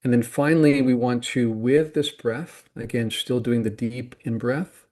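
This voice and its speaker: Man calmly leading a guided meditation. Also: medium pitch. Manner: calm, deliberate, with pauses between short phrases. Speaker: meditation teacher.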